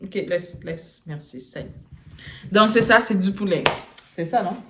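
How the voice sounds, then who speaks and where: A woman's voice: mid-range voice, measured pace. Chatty woman from Congo, echoey room. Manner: chatty, repeats words, laughs often. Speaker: channel co-host. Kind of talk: casual quiz game between two people. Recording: echoey room with faint noise.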